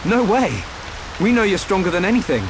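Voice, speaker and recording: A man's voice: high voice, very animated, fairly quick, background noise. An actor playing an earnest, determined young hero in a video game cutscene, sincere and eager in his delivery. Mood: earnest, grateful.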